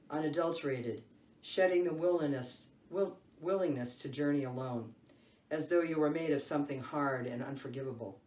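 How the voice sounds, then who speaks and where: A man's voice: on the high side, a little mellow. A man reading a liturgical text aloud in a solemn style as a worship reader. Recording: roomy echo, distant mic, faint noise.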